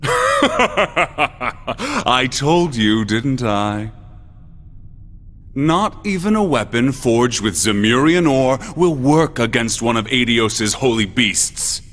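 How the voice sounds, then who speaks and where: A smug, theatrical villain from a video game. He speaks slowly and grandly, with lively swings in pitch. His taunts ring out with long hall reverb. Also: full character voice. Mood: smug, taunting. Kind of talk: video game cutscene acting.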